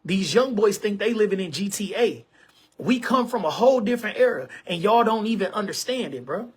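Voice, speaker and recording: A man's voice: high-pitched, animated, a little fast, breathy edge. A man in his forties hosting an online show, talking casually to camera in an emphatic, repetitive way and often calling listeners bro and man. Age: 40s.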